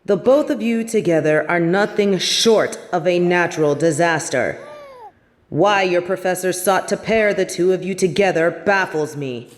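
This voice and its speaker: A woman's voice: lowish voice, normal speed, close to an everyday voice. A woman playing a stern, scornful older headmistress of a magic school in a fantasy audio drama, with a haughty, cutting delivery. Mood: scornful listing. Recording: long reverberant hall with background noise.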